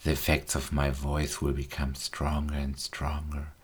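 Male hypnotist, whispered ASMR delivery, very low and flat, unhurried. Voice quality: whispered, breathy. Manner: slow, soothing, repetitive suggestions.

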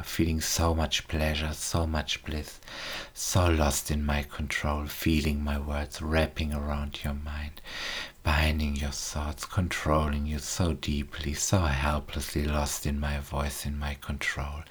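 Male hypnotist, very low husky voice, flat delivery, slow soft repetition with whispers. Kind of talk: guided hypnosis recording.

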